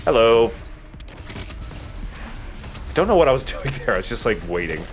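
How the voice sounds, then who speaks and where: A man's voice: mid-range voice, big pitch swings, moderate pace, a little hiss or hum. A man hosting a let's play, chatting casually and jokingly as he plays. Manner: joking, rambling. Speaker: let's play host playing the game. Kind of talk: casual gameplay commentary chat. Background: video game music.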